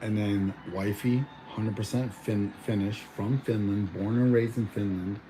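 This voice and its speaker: A man's voice: lowish voice, fairly even intonation, measured pace, noisy background. A young man born in Mexico chatting casually at home, rambling with lots of fillers and restarts. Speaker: vlogger. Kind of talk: casual chat.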